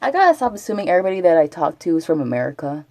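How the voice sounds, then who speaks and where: Chatty low-voiced woman streamer. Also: very animated, racing, a little mellow, no echo. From Texas. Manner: chatty, rambling, answers chat. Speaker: Twitch streamer. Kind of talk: casual live-stream chat.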